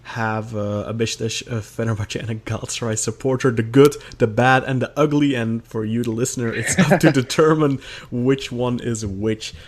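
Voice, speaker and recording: A thirty-year-old man from Belgium hosting a casual football podcast, upbeat and quick, with the odd laugh. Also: medium pitch, fairly even intonation. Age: 30s. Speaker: podcast host.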